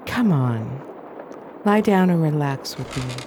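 A woman playing a patient, affectionate preacher's wife in a radio play, speaking in a gentle, coaxing way. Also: sits low, animated, slow pace, background noise. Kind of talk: radio theatre acting.

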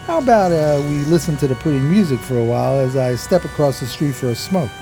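An actor playing a dim, streetwise, loyal homeless sidekick in an American radio play, with hesitant, slangy street talk. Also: male voice, mid-range voice, lively intonation, quick pace, lightly acted. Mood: grumbling, evasive. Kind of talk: radio play dialogue and narration. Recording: music behind the voice.

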